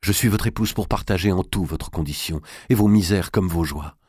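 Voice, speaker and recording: A man reading an audiobook, voicing a pious, gentle young queen who speaks softly and with quiet firmness. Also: low-pitched, moderate pace, slightly rough, no room sound, studio quality. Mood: earnest, gentle. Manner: soft, hushed, restrained.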